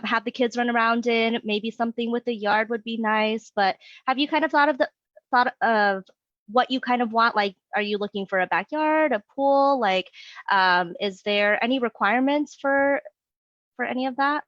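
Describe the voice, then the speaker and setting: A woman's voice: a little high, fairly bright tone, no echo. Upbeat woman on a dull call. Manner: upbeat and friendly, many okays and likes. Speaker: job candidate playing a real estate agent. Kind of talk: mock sales interview role play. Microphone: video call. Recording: dull lo-fi sound, dry.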